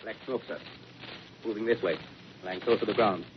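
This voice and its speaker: A man's voice: lowish voice, moderate pace, close to an everyday voice. An actor playing an army artillery observer who reports tersely to his officer in a 1930s radio drama. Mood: tense, watchful. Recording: very noisy, muffled 1930s radio broadcast.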